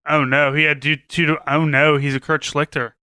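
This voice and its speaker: A man's voice: a little high, level tone, moderate pace, sharp tone, clean tone, no room sound, studio quality. A man co-hosting a podcast, giving casual commentary in a rambling, wry, sweary way. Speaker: podcast co-host.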